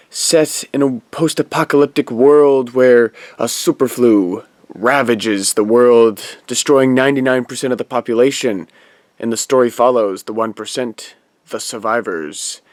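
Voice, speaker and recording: A man giving an informal announcement as an audio drama producer, in halting, deliberate phrases. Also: medium pitch, no room sound. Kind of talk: informal post-credit announcement.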